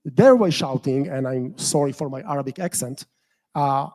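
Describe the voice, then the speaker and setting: A young adult man from Israel with an Israeli accent, telling an earnest story to an audience with measured pauses. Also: mid-range voice, darkish tone. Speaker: activist speaker.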